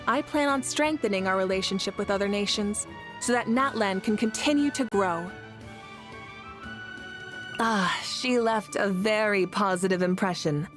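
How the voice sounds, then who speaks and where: A woman voices a young female warrior leader from a video game, calm and sure of herself. Her mid-pitched voice is measured and thoughtful, with dignified warmth. Music plays behind her words. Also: natural voice. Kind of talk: scripted game character dialogue.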